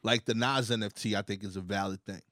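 A man's voice: lowish voice, fairly even intonation, fast, fairly bright tone, no room sound, clean studio sound. Laid-back slangy young man. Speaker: podcast guest. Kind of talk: casual podcast chat. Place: podcast studio.

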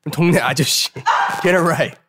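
A man's voice: on the high side, very fast, heavy background noise. A man hosting a casual interview, asking questions in a chatty, joking manner. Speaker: interviewer, host.

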